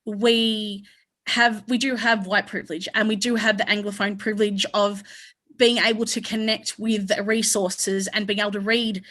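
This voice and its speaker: A woman's voice: mid-range voice, level tone, moderate pace, fairly bright tone. An Australian woman speaking on a conference panel, rambling, with frequent restarts and fillers.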